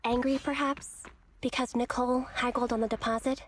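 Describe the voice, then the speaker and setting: A woman's voice: a little high, little pitch movement, brisk, a little hiss or hum, natural voice. A woman playing a terse, matter-of-fact young comrade in a game cutscene, speaking briefly and to the point. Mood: calm.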